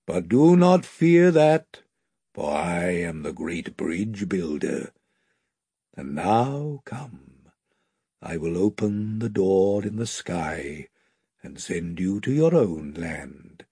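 A man voicing a great, wise male lion who speaks gravely, slowly and tenderly. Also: sits low, sing-song, mellow, dry recording, clean studio sound, pitched below the performer's own voice, full character voice. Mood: solemn tender.

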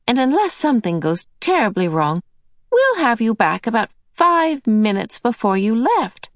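A woman's voice: fairly high pitch, no room sound, studio quality, pitched above the performer's own voice, exaggerated voice. A woman voicing a cheerful, motherly old otherworldly female being who soothes and fusses. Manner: bright and soothing, brisk.

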